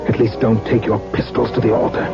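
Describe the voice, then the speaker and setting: An actor playing an exasperated, disapproving friend, grumbling theatrically in a 1970s radio drama. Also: male voice, fairly low pitch, flat delivery, fast, gravelly. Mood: disgusted. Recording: dull vintage radio recording, loud music behind.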